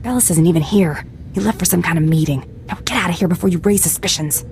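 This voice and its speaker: A woman playing a spy's fiercely protective, sharp-tongued wife in a video game. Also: lowish voice, quick pace, slight background noise, lightly acted. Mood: indignant.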